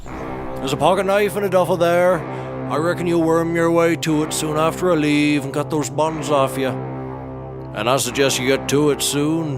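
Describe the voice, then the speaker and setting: Guy doing a folksy stubborn janitor, kinda high voice, comedy show, bit of music behind. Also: close to an everyday voice. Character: folksy, stubborn male janitor abandoning his captives. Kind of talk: scripted comedy acting.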